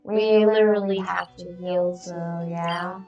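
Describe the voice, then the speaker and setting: A woman's voice: fairly low pitch, steady tone, takes it slowly, dull audio, music in the background. A woman giving casual commentary while playing a video game.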